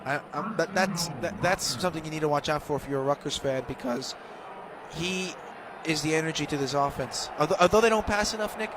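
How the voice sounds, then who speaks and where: Fast-talking American man analyzing football over loud noise. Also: fairly high pitch. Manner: opinionated, emphatic, repeats himself. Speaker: color commentator. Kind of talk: live football radio analysis. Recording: loud constant stadium noise, outdoor broadcast.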